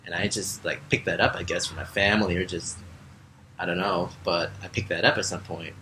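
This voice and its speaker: A young American man speaking as a Peace Corps volunteer in a relaxed interview, with lots of fillers. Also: sits low, brisk, slight background noise, poor audio. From United States. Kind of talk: casual interview answers.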